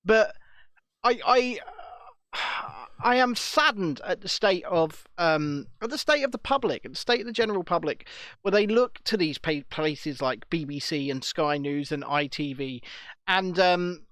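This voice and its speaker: A man's voice: sits high, animated, unhurried, fairly bright tone, no echo. A man co-hosting a casual podcast, speaking earnestly with frequent restarts. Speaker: podcast co-host. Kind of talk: casual chat.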